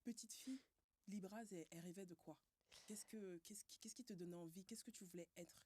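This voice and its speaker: Mellow-voiced woman hosting a podcast. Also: mid-range voice, a little fast, slight background noise. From Cameroon. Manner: relaxed, friendly questioning with fillers. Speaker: podcast host. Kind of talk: casual interview.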